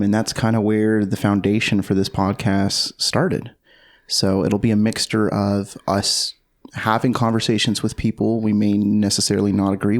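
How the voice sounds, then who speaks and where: Low gravelly guy hosting a podcast, friendly and a bit teasing. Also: darkish tone. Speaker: podcast co-host. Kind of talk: casual chat.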